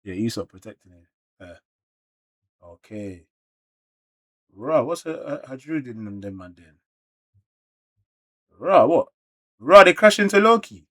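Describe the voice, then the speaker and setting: A man's voice: medium pitch, a little slow, pauses often. London man reacting in loose street slang. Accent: London British. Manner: slangy, animated exclamations mixed with muttered asides. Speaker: reaction video creator. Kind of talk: casual manga read-along reaction.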